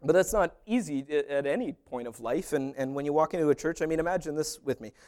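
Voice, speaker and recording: Animated man preaching a sermon. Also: fairly high pitch, fast talker. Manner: animated, humorous asides, acts out little dialogues. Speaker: pastor.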